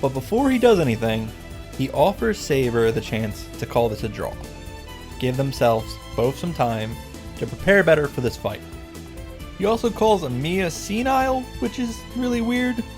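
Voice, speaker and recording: A man's voice: on the high side, expressive, moderate pace. A man delivering a scripted comedic recap commentary in a wry, profane, mock-grandiose manner. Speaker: visual novel reactor and narrator. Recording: background music under the voice.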